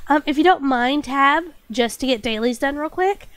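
High-pitched young woman streamer, congested and coughing, chirpy and full of fillers. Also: normal speed, no room sound. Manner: bubbly, apologetic, lots of fillers. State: stuffy nose and lingering cough. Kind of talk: casual chat with stream chat.

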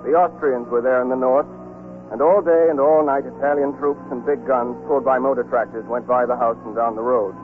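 A man's voice: mid-range voice, fairly even intonation, a little fast, faint music behind. Man narrating on tinny old radio. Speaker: host and narrator. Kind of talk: 1940s radio scripted narration. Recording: tinny, muffled 1940s radio broadcast.

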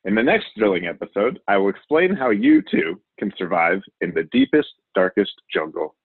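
A man voicing a wild, overconfident male TV survival show host from a children's storybook, excitable and showy. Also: on the low side, moderate pace, husky, no room sound, full character voice. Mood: confident, instructive. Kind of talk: children's storybook character reading. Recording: narrow, phone-like audio.

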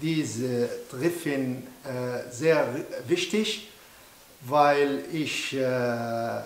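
Very slow, halting man in his sixties. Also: mid-range voice, echoey room, a little hiss or hum. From Syria. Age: 60s. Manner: halting learner speech, many hesitations. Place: indoor studio backdrop.

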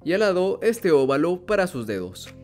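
Male drawing tutor, fairly high voice with lively, rising intonation over faint music. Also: normal speed. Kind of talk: step-by-step drawing tutorial narration. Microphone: voice-over over hands-on drawing footage.

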